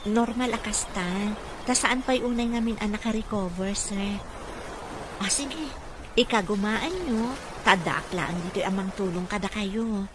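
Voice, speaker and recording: A woman's voice: medium pitch, unhurried, background noise, lightly acted. A woman playing a kindly, dutiful mother and caregiver in an Ilocano radio comedy-drama, speaking in a respectful, motherly way. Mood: reassuring, earnest.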